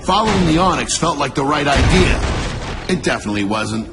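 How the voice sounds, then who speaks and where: A man's voice: fairly high pitch, animated, fairly quick, close to an everyday voice. A man playing a sardonic, weary and brash army lieutenant in a video game cutscene, with a dry, wry delivery. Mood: dry, grim. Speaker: soldier, squad lieutenant. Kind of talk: video game cutscene acting, narrated testimony. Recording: loud dramatic music and battle sounds.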